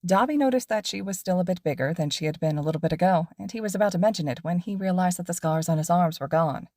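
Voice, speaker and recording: A woman narrating a fan fiction audiobook in a low, steady voice. Her delivery is even and measured. The sound is close and dry, with no room echo. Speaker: podfic reader.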